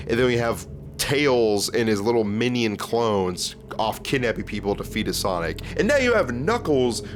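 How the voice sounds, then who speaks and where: A man giving informal, slangy, giggly reaction commentary as an online video host. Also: on the low side.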